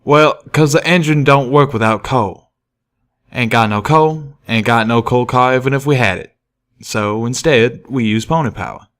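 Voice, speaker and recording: A man voicing an affable rustic train-worker pony who explains things in a simple, folksy, drawn-out way for an audiobook. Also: medium pitch, fairly bright tone, natural voice. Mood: matter-of-fact. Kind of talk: audiobook character voice.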